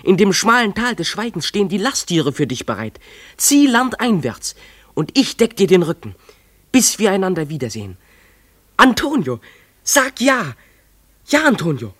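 Fervent runaway boy, husky, swooping voice. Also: high-pitched, big pitch swings, moderate pace, a bit bright, no room sound, exaggerated voice. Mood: pleading, urgent. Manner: imploring, declamatory, fervent. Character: dreamy, defiant runaway schoolboy longing for island adventure. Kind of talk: German radio play monologue.

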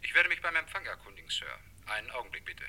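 Formal hotel switchboard man, super sharp lively voice over the phone, crime radio drama. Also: medium pitch, fast talker, breathy edge, exaggerated voice. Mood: deferential. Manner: formal, servile. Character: formal, deferential male hotel telephone clerk. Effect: telephone voice. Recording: tinny, noisy telephone line.

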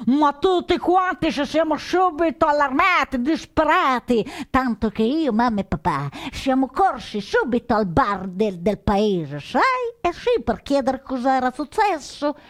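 A woman playing an excitable, naive young villager, telling a story in a chatty, repetitive, breathless way for radio theatre. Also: high voice, measured pace, few pauses. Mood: agitated, eager.

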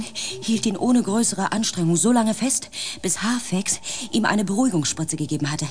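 An actor playing the sober narrator of a science fiction radio drama, measured and matter-of-fact. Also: medium pitch, close to an everyday voice.

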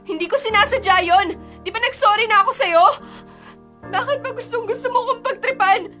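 A woman's voice: extremely high pitch, a little fast, sharp tone, faint music behind. An actress playing a feisty, indignant young female student in a Filipino radio drama, with an emotional, dramatic delivery. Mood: angry, tearful. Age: young adult.

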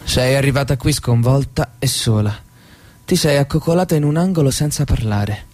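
A man's voice: mid-range voice, normal speed, darkish tone, lightly acted. An actor playing an enigmatic male guide in a theatrical radio drama, speaking cryptically. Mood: defensive, familiar.

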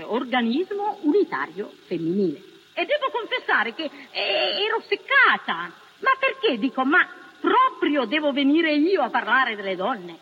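A woman speaks to a public meeting in a high, wry voice full of emphatic questions. The old recording is dull and faintly noisy, with the long reverb of a big hall. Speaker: former partisan giving a speech. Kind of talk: informal public speech.

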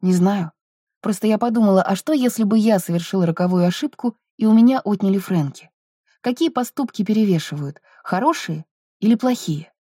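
A woman's voice: medium pitch, lively intonation, a little fast, mellow, breathy edge, no echo, studio quality. A woman voicing an earnest, conciliatory wife and mother in an audiobook, hesitant yet persuasive. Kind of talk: audiobook character dialogue.

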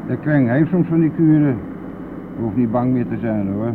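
An actor in a radio play, playing a gruff, impatient male bus driver who grumbles and curses in a brusque manner. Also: mid-range voice, moderate pace, natural voice. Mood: gruff, matter-of-fact. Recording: muffled, narrow-band, noisy old radio play.

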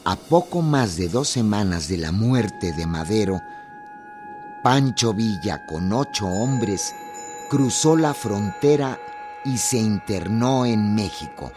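Narrador solemne, grave y pausado. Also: male voice, on the low side, animated, noisy background. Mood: solemn. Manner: measured, declarative. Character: solemn male narrator of a revolutionary chronicle. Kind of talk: scripted radio drama narration. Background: music.

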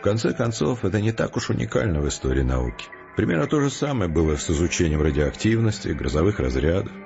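A resolute, philosophical scientist with a low voice, reasoning patiently and deliberately. The audio drama recording is slightly muffled, with prominent music behind him. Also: male voice, sing-song, creaky, heavily acted. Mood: calm, persuasive. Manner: deliberate, explanatory, earnest.

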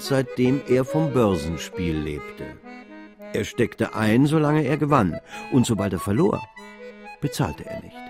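An actor narrating a literary radio drama as a wry, worldly storyteller, measured and ironic. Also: male voice, fairly low pitch. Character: wry, worldly male storyteller of Parisian high society.

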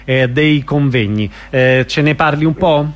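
A man's voice: mid-range voice, measured pace. A man with an Italian accent asks questions as an interviewer in an informal radio conversation.

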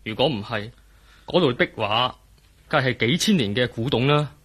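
A husky, humble poor tribesman. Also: mid-range voice, animated, moderate pace, fairly bright tone. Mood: plaintive. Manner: polite, hesitant, plaintive. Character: poor, humble tribesman, last of his people. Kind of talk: Cantonese radio drama acting.

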